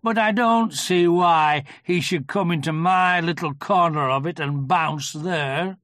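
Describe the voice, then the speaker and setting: A reader voicing a gloomy old male toy donkey in a children's storybook, gruff, ponderous and morose. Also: mid-range voice, a little slow, fairly bright tone, no echo, clean studio sound, pitched above the performer's own voice, natural voice. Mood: gloomy, sarcastic. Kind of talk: children's storybook character reading.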